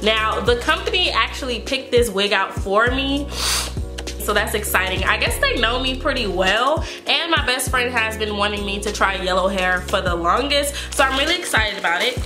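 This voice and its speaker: A woman's voice: a little high, expressive, normal speed. A woman beauty vlogger with a stuffy, congested voice from a cold, chatting casually to viewers and filling her talk with "like" and "um". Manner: chatty, filler-heavy, addresses viewers as "you guys". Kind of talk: casual wig review chat. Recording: echoey room with background music.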